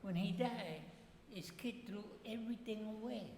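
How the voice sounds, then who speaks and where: An older man telling personal stories casually as an interview guest, in halting speech with repeated words. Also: sits high, darkish tone. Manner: halting, repeats words, non-standard grammar. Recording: echoey reverberant room with background noise.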